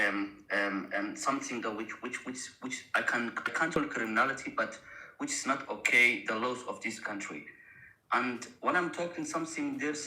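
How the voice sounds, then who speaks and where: A Somali man who grew up in Austria, earnestly giving his opinion in halting during a debate. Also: medium pitch, pushed and bright. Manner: halting non-native phrasing, earnest. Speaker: debate panellist. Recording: echoey room sound.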